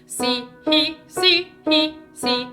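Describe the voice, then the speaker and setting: Woman voice coach, high and bright, big singing voice, loud piano behind her, casual and self-mocking between exercises. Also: drawn-out words. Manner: sings staccato scale syllables then explains technique in a relaxed spoken voice; self-deprecating, apologizes for slips. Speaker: voice teacher. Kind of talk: vocal exercise lesson with piano. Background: piano accompaniment.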